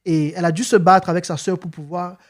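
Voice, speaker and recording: A man's voice: sits high, fairly even intonation, quick pace. A man hosting a casual podcast interview, often stumbling over repeated words and filler phrases, now and then rising into an emphatic rallying tone. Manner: hesitant, repeats words and says "en fait" often. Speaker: podcast host.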